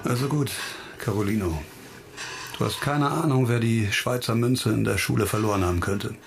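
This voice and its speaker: A man acting an earnest, persistent police investigator in a radio crime drama, speaking in a measured, persuasive way. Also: on the low side, vocal fry, background noise. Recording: studio radio drama, music underneath.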